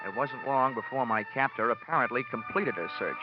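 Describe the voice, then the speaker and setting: An actor playing a wisecracking, cynical insurance investigator in a mid-century American radio detective drama. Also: male voice, mid-range voice, a little fast, natural voice. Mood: wry, hardboiled. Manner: wry, cynical, slangy. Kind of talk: 1940s-50s radio detective acting. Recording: dull vintage radio recording, music behind.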